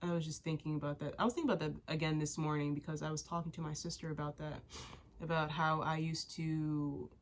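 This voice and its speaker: A young woman with a low voice talks openly and at length to her viewers. She is getting over a cold, so she sounds stuffy and sniffles now and then. Her sentences ramble, full of "like" and "you know" and restarts. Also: steady tone, fairly quick. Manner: rambling and candid, many fillers and restarts. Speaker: vlogger. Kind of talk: casual chat to camera.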